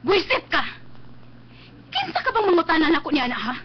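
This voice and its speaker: An actress playing a defiant, hot-tempered young daughter in a Philippine radio drama, snappish and scornful, with a Cebuano accent. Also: female voice, extremely high pitch, sing-song, brisk. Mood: angry, defiant. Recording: dull lo-fi webcast with noise.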